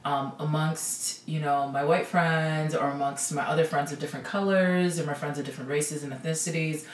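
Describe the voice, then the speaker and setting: A woman with a low voice and a Midwestern American accent gives casual, personal answers as a podcast guest. The room echoes around her, and she sits some way from the microphone. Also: steady tone, normal speed. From Minnesota, USA. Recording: roomy echo, distant from the mic.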